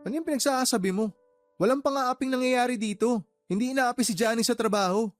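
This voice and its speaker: An actor playing a firm, fair-minded uncle and shop boss who reasons and admonishes, in a Tagalog radio drama. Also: male voice, extremely high pitch, fast talker, soft background music. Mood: exasperated.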